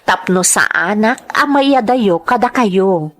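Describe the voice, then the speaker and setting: An actress playing a dutiful, respectful young daughter and new teacher in an Ilocano radio drama, speaking politely with a Filipino accent. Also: female voice, mid-range voice, animated, takes it slowly, fairly bright tone. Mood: earnest, glad. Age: young adult.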